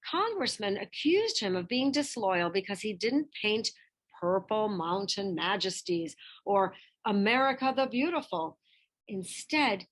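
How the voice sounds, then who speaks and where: A woman reading a picture-book biography aloud as scripted narration, with expressive delivery and deliberate emphasis. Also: medium pitch, rough recording.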